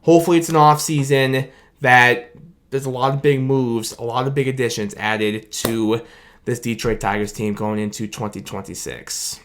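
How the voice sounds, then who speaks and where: A man's voice: mid-range voice, steady tone, moderate pace, a little hiss or hum. A tired young man, his voice starting to go late at night, rambling through a long, grateful sign-off to his sports reaction video. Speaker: sports fan video host. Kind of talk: late-night post-game reaction monologue. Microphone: camera mic with his own light.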